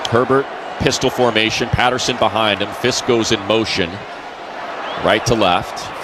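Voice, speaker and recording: A man's voice: lowish voice, steady tone, moderate pace, noisy background. A man with an American accent doing live play-by-play commentary of American football on the radio. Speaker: play-by-play sports announcer.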